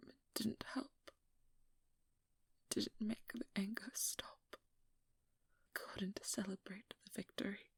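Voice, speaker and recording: Woman acting a breathy, guarded vampire hunter. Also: medium pitch, no room sound, heavily acted. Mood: anguished, halting. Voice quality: breathy, hushed, often whispering. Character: determined, guarded young woman vampire hunter, wounded and protective. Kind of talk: ASMR role-play, intimate dramatic monologue. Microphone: close microphone in a dry room.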